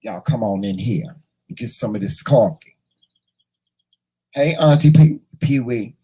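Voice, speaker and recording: A hoarse man hosting a casual morning livestream, chatting and teasing his viewers in a folksy way. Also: medium pitch, animated, unhurried, warm tone, low-quality recording. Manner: folksy, teasing, talks to the chat; repeats words and restarts often. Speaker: morning show host.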